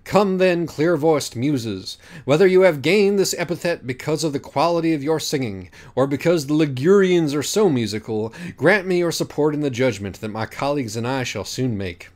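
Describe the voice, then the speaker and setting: A man voicing a dignified woman author who sits as judge in an audiobook, formal and measured. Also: fairly high pitch, animated, darkish tone, close to an everyday voice. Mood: ceremonious. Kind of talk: audiobook character voice.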